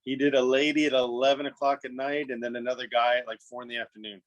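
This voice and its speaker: A man with a mid-range voice recounts events in a calm, matter-of-fact tone. He speaks as a guest on a casual podcast. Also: mid-range voice, fast, highs cut off. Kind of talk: casual conversation.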